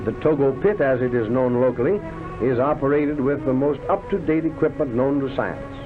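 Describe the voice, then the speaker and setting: A man's voice: medium pitch, moderate pace. A man delivering scripted 1930s newsreel-style documentary narration in a declamatory, florid showman's manner. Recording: tinny band-limited vintage film soundtrack, loud music. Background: loud background music.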